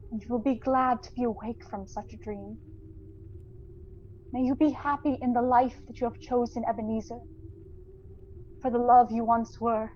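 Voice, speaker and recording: A woman playing a sorrowful, dignified young woman in an audio drama, speaking gently and earnestly in measured phrases. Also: fairly high pitch, fairly even intonation, muffled recording, slight background noise, lightly acted. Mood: sorrowful, firm. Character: sorrowful, dignified young fiancée releasing her beloved. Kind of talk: theatrical audio drama acting.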